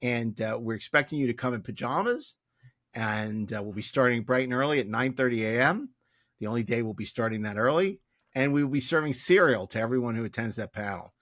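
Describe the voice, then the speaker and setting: A man's voice: mid-range voice, brisk, a bit bright, dry recording, clean studio sound. A man hosting a podcast, chatting casually and jokily in a rambling way as he promotes upcoming events. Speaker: podcast host.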